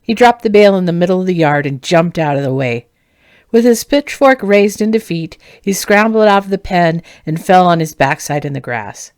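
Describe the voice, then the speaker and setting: A woman narrating a fantasy novel as its author, in an expressive storybook reading style. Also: lowish voice, normal speed, no echo, studio quality.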